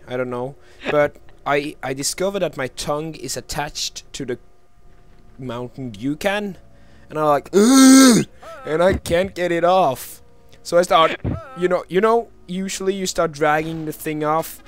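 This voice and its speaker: A young man telling anecdotes in casual chat, halting and jokey. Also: fairly high pitch. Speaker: co-host of a casual gaming video.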